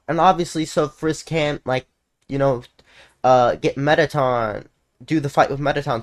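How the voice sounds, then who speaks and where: A reaction video host giving casual, rambling commentary peppered with "like". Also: medium pitch. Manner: rambling, frequent use of like.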